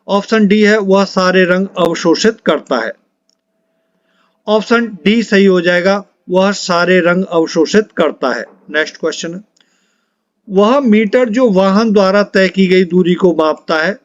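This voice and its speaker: A man's voice: high voice, dry recording, lo-fi sound. A man, an exam coaching teacher reading out quiz questions and answers in an instructional way, repeating for emphasis.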